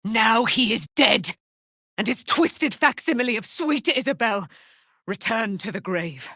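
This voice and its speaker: A woman's voice: fairly high pitch, expressive, a little slow, sharp tone, dry recording, clean studio sound, exaggerated voice. A woman playing a proud immortal paladin, a moon goddess's warrior daughter, in a fantasy video game, speaking in grand, archaic, theatrical declamation. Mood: noble, earnest.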